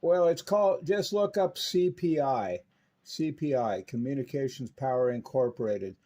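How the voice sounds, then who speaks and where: An American man narrating radio repair work and chatting on CB radio in a casual, unhurried way with many pauses. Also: medium pitch, dark tone. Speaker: radio repair technician and presenter.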